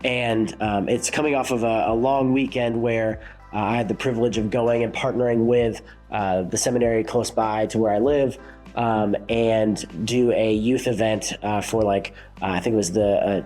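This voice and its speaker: Young worship leader, sick and stuffed up, low voice, loose reflective vlog delivery. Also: male voice, darkish tone. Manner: rambling, many fillers and restarts. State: congested, sick.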